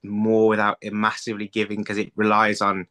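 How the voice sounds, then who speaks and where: A man in his thirties giving conversational interview answers over a video call, haltingly, thinking aloud and repeating words. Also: fairly low pitch, completely flat delivery, pushed and bright. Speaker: writer being interviewed. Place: indoor room, video call. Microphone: phone on a video call.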